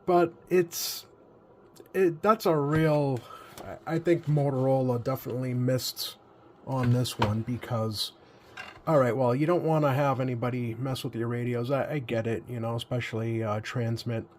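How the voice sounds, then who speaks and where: Man, mid-pitched voice, easygoing, halting delivery, casual hobbyist demonstration, thinking aloud. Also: steady tone, slight background noise. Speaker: radio repair hobbyist hosting a video. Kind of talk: casual unscripted hands-on demonstration commentary.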